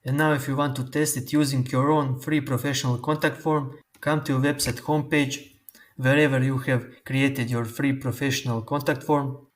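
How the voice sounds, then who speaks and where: Man explaining software steps. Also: mid-range voice. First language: Croatian. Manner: slow, step-by-step instructions. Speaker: tutorial host. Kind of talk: screen-recorded software tutorial.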